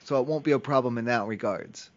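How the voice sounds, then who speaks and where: A man's voice: mid-range voice, fast talker. A man making an improvised prank phone call, rambling and pleading as if clueless. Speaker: prank caller. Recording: slightly dull, lo-fi call audio.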